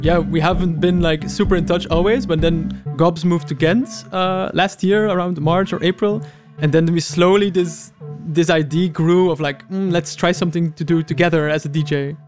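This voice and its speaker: A young man guesting as a DJ on a radio show, speaking in a relaxed, thoughtful way with some fillers. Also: on the high side, moderate pace, few pauses. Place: radio studio. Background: music.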